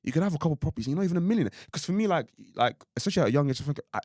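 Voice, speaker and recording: A man's voice: fairly high pitch, lively intonation, rapid-fire, mellow, dry recording, clean studio sound. A young man from Ireland co-hosting a podcast, talking casually in a rambling way with lots of "like" and "you know what I mean". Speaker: podcast co-host.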